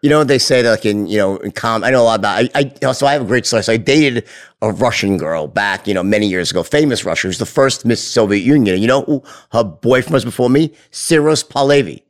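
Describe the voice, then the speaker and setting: A man hosting an interview, chatting loosely with quick asides and some swearing. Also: medium pitch. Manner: loose, talkative, profanity and fast asides. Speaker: podcast host. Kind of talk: casual interview chat.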